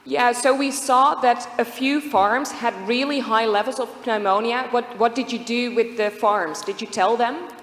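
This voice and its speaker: A woman's voice: fairly high pitch, fairly even intonation, moderate pace, hardly any pauses. Woman asking a question in an echoey hall. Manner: polite, rephrasing her question. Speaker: audience member asking a question. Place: lecture hall. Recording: echoey hall, faint room noise.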